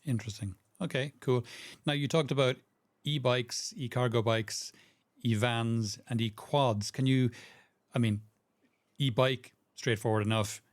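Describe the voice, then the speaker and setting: A man's voice: mid-range voice, steady tone, unhurried, no echo. A man hosting a podcast, asking his guest conversational follow-up questions. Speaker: podcast host. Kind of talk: podcast interviewing.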